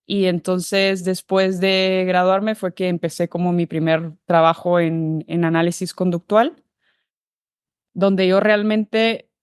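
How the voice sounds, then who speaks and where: A woman's voice: lowish voice, flat delivery, slow, darkish tone, smooth, dry recording, studio quality. A woman from Guatemala, a behavior analyst, telling her career path in an interview, thoughtful and full of restarts. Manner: thoughtful, many fillers and restarts. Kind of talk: relaxed interview conversation.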